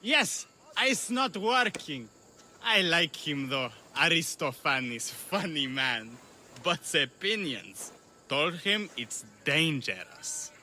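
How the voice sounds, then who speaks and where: Game drunkard: dragging, slurred, very sharp voice, swooping, genial, faint background noise. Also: male voice, on the high side, sing-song, heavily acted. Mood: tipsy, rambling. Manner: slurred, drawn-out drunken rambling. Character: drunken Greek actor, rambling, genial, unwilling to make trouble.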